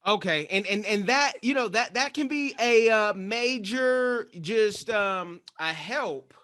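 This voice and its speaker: Bright-voiced man hosting, halting delivery. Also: high-pitched. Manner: hesitant, restarts often, many fillers. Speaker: podcast host. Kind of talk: casual interview talk.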